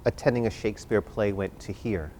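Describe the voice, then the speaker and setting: A man with a fairly low voice acts as host and moderator of an arts event. He addresses viewers and panel directly, with emphatic, theatrical phrasing that leans on repetition. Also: a little fast, darkish tone, slight background noise. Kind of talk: warm-up hosting talk to a home audience and panel.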